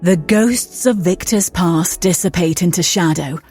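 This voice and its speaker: A woman's voice: on the low side, a little slow, a little mellow, soft background music, close to an everyday voice. A woman narrating an audio drama in a solemn, scripted style.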